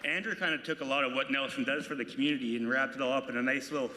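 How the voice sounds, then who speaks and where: A man's voice: medium pitch, fairly even intonation, very fast, background noise. A man, a local politician, giving earnest, off-the-cuff remarks at a gala in a large banquet hall. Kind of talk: unscripted speech. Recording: echoey hall.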